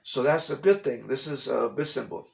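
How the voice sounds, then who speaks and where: A man's voice: medium pitch, moderate pace, vocal fry, darkish tone. Rambling man talking to camera at home. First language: English. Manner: rambling, repeats phrases often; blunt, dismissive of conspiracy theories. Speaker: vlogger. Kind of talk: casual explanatory monologue. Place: at home, room with a TV.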